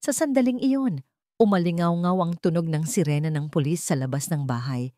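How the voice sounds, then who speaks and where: A woman reads a dramatic scripted story as an audiobook narrator. Her voice sits slightly low and the sound is very dry. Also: normal speed, dark tone, no room sound.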